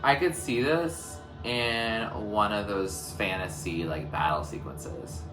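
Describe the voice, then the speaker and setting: Very deep-voiced man, reflective, echoey room. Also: slow, music in the background. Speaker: music reaction host. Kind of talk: casual reaction commentary.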